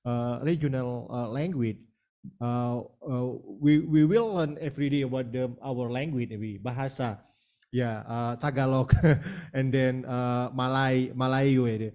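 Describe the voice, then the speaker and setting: Guy giving webinar feedback, mellow dark tone, lots of uh and yeah, repeats himself. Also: mid-range voice, fairly even intonation, unhurried. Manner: hesitant, repeating words and many "yeah"s. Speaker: panelist. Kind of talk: webinar panel remarks.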